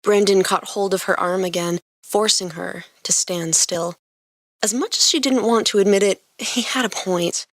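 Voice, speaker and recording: A woman's voice: medium pitch, moderate pace, no room sound, studio quality. A woman reading scripted fiction aloud as the narrator of a story.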